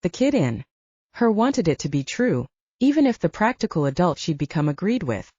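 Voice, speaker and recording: A woman's voice: fairly low pitch, very animated, normal speed, darkish tone, breathy edge, dry recording, dull audio. A woman reading a scripted work of fiction as an audiobook narrator.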